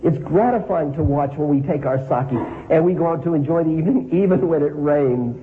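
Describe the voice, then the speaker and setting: A man voicing a tiny, cheerful old man in his seventies who talks with gentle delight, heard through a tinny lo-fi line. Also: fairly high pitch, a little fast, slight background noise, close to an everyday voice. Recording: tinny phone-like lo-fi sound.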